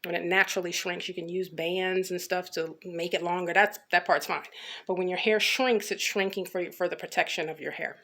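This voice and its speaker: Casual American woman with a creaky voice. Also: on the low side, steady tone, fairly quick, a bit bright. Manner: informal, rambling, repeats herself. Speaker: natural hair care vlogger. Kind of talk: casual chatty hair update.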